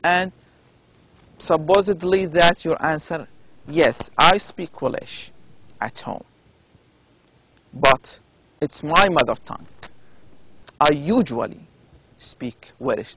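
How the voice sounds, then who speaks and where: A male university lecturer with an Arabic accent talks through slides at a very unhurried pace. His voice sits a little high and has a gravelly, creaky texture. He pauses often and fills gaps with hesitations as he explains. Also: a bit bright, low-quality recording. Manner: slow, deliberate explaining with many pauses and fillers. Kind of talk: recorded lecture over slides.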